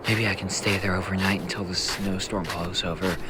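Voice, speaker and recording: Man acting a low, flat, weary snowstorm survivor. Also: brisk, very noisy, natural voice. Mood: weary, worried. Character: cold, weary male survivor lost in a snowstorm. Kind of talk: analog horror audio log acting.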